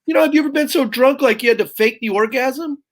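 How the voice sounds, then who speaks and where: A man's voice: very high voice, fast. A man, a guest comedian, firing off brash stand-up jokes on a video call and calling the host brother. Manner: brash, punchy, calls people "brother". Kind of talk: stand-up style joke telling.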